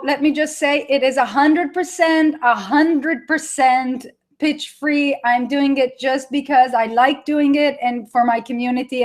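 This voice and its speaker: Israeli woman in her thirties, webinar trainer, chatty and warm-pitched, laughs easily. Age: 30s. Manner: chatty, laughs often, talks to viewers by name. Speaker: online marketing trainer. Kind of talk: live webinar teaching.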